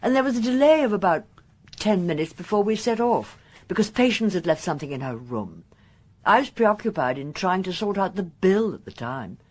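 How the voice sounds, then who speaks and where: An actress playing an efficient upper-class wife in a radio crime drama, precise and a little haughty. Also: female voice, medium pitch, sing-song, moderate pace, darkish tone. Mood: precise, guarded. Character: efficient upper-class titled English wife, slightly indignant.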